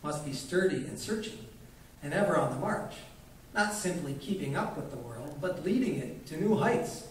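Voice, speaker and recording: A grandiloquent old male quack, put on by a man reading aloud, holds forth with lofty, pompous dignity. The voice sits a little high and rings out through a very echoey room. It is heard from a distance with faint noise underneath. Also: lively intonation, moderate pace, lightly acted. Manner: haughty, grandiloquent.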